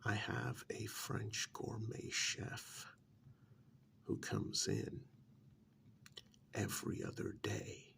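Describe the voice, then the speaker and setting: Casting: male actor for smug, sardonic pampered captive, ASMR role-play. Low, very gravelly murmur, unhurried drawl. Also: slight background noise, full character voice. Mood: smug, boastful. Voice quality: soft, half-whispered, gravelly.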